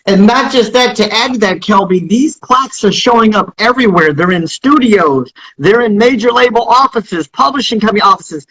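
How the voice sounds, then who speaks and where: High-pitched, bright, husky man pitching. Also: expressive, moderate pace, few pauses, poor audio. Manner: emphatic, motivational, repetitive salesman-like delivery. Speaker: business founder being interviewed. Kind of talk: promotional pitch in a live-stream interview. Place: Instagram live call by phone. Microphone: phone.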